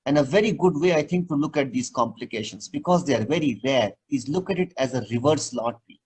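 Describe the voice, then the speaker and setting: A man's voice: medium pitch, fast talker, darkish tone, slightly rough. A male surgeon answering interview questions, explaining procedures patiently with plain everyday comparisons. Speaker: surgeon guest.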